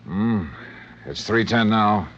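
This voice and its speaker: A man's voice: on the low side, slow, fairly bright tone. An actor playing a probing male insurance investigator in a 1950s radio drama, with a clipped, questioning delivery. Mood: measured, probing. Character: insurance investigator, adult man, brisk and probing. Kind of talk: 1950s radio detective acting. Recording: muffled old radio recording, faint music.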